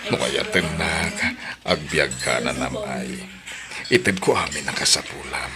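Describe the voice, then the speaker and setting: A man playing a low-voiced pleading suitor. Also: measured pace. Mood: wooing. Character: man playing husband, boss and father roles. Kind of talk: radio drama acting. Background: background music.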